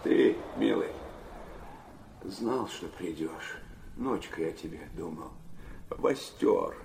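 An actor playing a gentle, ailing old peasant man in a radio play, with a weak voice and halting, tender, folksy talk. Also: sits low, sing-song, vocal fry, background noise, full character voice. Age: older.

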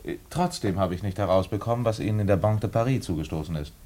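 An actor playing an inquisitive, dryly ironic gentleman investigator in a German radio drama, probing with small hesitations. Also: fairly low pitch, fast talker, close to an everyday voice.

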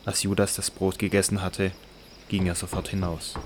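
A man narrating a scripted radio drama in a measured, even way. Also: deep voice, noisy background.

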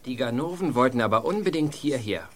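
An actor playing a self-assured teenage detective in a radio play, reasoning things out assertively. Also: male voice, medium pitch, animated, fairly quick, a bit bright, slight background noise, natural voice. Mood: matter-of-fact. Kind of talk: German youth radio play acting.

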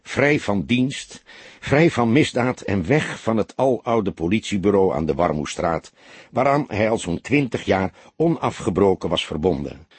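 Very husky, low-voiced male storyteller. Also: big pitch swings, unhurried, no room sound. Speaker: audiobook narrator. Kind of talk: dramatic scripted crime story narration.

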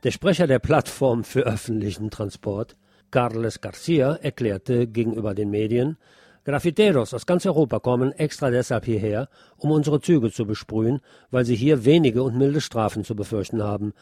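A male news presenter with a medium-pitched, somewhat dark and mellow voice. He reads scripted reports with a wry, colloquial touch. The sound is dry, with little room around it. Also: moderate pace, breathy edge, no echo.

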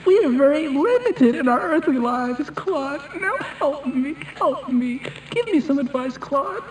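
A man's voice: very high voice, expressive, unhurried, a little hiss or hum. A man improvising a prank phone call, hesitant and repetitive, pleading with the person on the line. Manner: hesitant, repetitive, pleading, addressing listener by name. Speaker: prank caller. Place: on a telephone call. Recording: prank call recorded over telephone.

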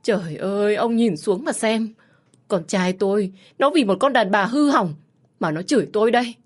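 A woman voicing a bitter older mother-in-law who berates her daughter-in-law in a high, loud voice. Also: normal speed, lightly acted. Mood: wailing, furious. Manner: shrill, berating.

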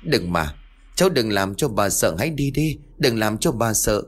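A man's voice: lowish voice, expressive, moderate pace. A man voicing a terrified old grandmother who pleads and cries out in panic. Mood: pleading.

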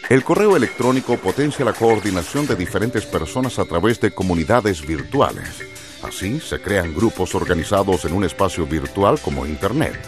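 A male narrator reads educational copy in a low voice. His intonation moves animatedly, and music plays clearly underneath. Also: animated, moderate pace.

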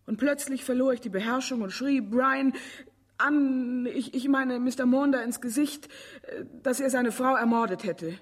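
An actress in a radio drama playing an anxious, reluctant female witness, speaking in a breathy, hesitant, emotional way. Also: sits high, moderate pace. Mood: distraught. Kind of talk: German radio drama acting.

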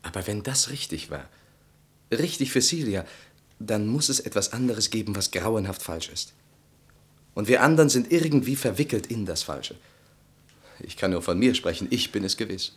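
Guilt-laden husband, low voice, earnest, halting formal phrasing, radio drama. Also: male voice, expressive, a bit bright, breathy edge. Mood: troubled. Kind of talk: German radio play acting.

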